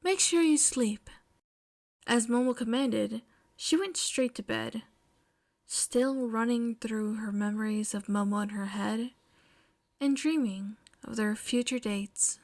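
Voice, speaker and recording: Woman reading a story out loud, slow pace, slightly high voice, audiobook narrator type thing. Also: fairly even intonation. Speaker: podfic narrator. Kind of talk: scripted story narration, with casual chat afterwards.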